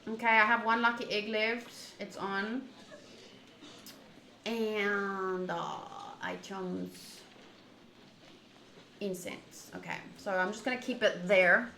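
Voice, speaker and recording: Female game streamer, bright voice, opinionated casual rambling, recorded in an echoey room. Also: mid-range voice, slow, long pauses. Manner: animated, opinionated, wandering, often rephrasing mid-thought. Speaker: streamer playing a visual novel. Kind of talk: casual rambling chat with viewers during a game playthrough. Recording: echoey room, faint background noise.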